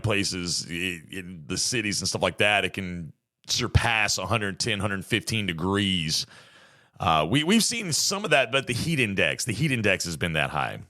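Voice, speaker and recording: An American man with a slightly hoarse voice, hosting a podcast in a chatty, rambling, folksy monologue. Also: on the low side, bright, forceful tone. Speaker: podcast host. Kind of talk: casual solo monologue, podcast intro.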